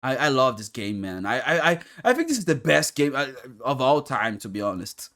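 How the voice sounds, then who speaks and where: Animated man reacting, swinging pitch. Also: moderate pace. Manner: animated, exclaiming, repeats words for emphasis. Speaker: reaction video host. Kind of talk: casual joking reaction commentary.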